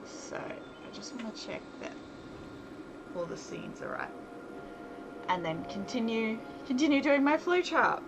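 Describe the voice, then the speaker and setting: A woman livestreaming casually as she works, thinking aloud in a hesitant way, trailing off and half talking to herself. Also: medium pitch. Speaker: livestream host and visual novel developer. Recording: clearly noisy livestream with constant background ambience.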